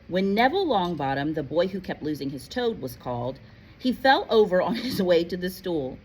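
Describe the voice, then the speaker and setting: A woman reading a story aloud in an animated, playful, expressive way, like a teacher. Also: fairly low pitch, normal speed, slight background noise, poor audio. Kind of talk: expressive read-aloud narration with casual asides.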